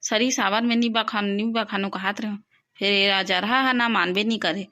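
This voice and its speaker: A woman's voice: mid-range voice, fairly even intonation, normal speed, heavy background noise, lightly acted. A woman voicing an exasperated village aunt in a comedy cartoon, scolding and complaining in a Chhattisgarhi accent.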